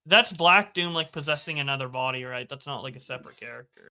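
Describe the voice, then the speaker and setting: Male guest, bright, sharp and clean tone; rambly, swears, "like" every other word. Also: medium pitch, quick pace, clear, resonant tone. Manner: rambling, swears, lots of "like" fillers. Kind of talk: casual livestream chat.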